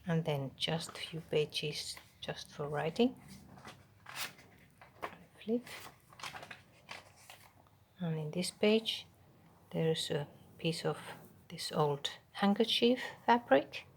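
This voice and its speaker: A Finnish woman with a Finnish accent, whose first language is Finnish, quietly showing a handmade journal page by page, half-whispering with pauses and ums. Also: sits low, expressive, creaky, a little hiss or hum. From Finland. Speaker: craft channel host. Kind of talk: soft show-and-tell of a handmade journal.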